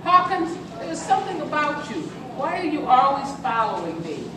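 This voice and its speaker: A woman's voice: high-pitched, sing-song, normal speed, crowd noise. An older woman playing a stern, anxious military officer and mother in an amateur radio play, recorded from far off in an echoing room. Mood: demanding, wary. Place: large echoing room, distant microphone. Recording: very echoey hall from far off, noisy.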